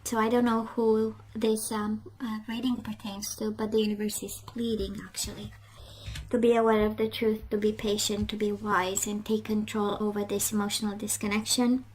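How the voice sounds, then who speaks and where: A woman giving a casual tarot love reading straight to the viewer, halting and reflective, repeating phrases for emphasis. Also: mid-range voice, completely flat delivery, darkish tone, slight background noise, rough recording. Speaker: tarot reader.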